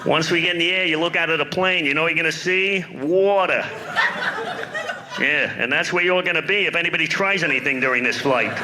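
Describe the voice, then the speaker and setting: A man's voice: fairly high pitch, close to an everyday voice. A man voicing a grumpy middle-aged airline pilot with a New York accent, barking sarcastic announcements at passengers. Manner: gruff, bossy, sarcastic, threatening. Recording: noisy live stage recording.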